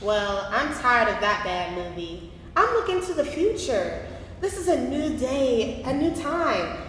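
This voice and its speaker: Actress playing a restless twenty-one-year-old woman for stage drama; unhurried, animated delivery, long hall reverb. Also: on the high side. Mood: restless, defiant. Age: young adult. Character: frustrated, yearning 21-year-old queer woman in 1966 Baltimore. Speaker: actor in a staged reading. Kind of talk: staged play reading. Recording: very echoey hall, noisy live stream.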